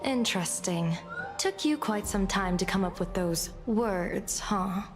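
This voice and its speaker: An actress playing a shrewd, self-assured female diviner in a video game, deliberate and lightly taunting, with a probing manner. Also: medium pitch, lightly acted. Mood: wry. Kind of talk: scripted video game voice acting. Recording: game music behind the voice. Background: music.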